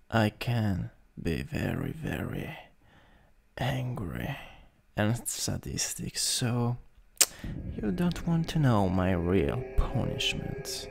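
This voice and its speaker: A man with an Italian accent playing a mean, sadistic vampire master in an improvised ASMR roleplay, husky and breathy with a menacing, theatrical manner that drifts into whispers. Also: fairly low pitch, full character voice. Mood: sadistic, teasing. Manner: slow, menacing, theatrical, chuckling.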